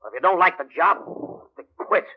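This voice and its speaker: A man's voice: high voice, moderate pace, close to an everyday voice. A man playing an indignant, flustered client who protests defensively in old radio drama style. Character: indignant, defensive male client under accusation. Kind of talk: 1940s-50s radio drama acting. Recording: dull, noisy old radio recording.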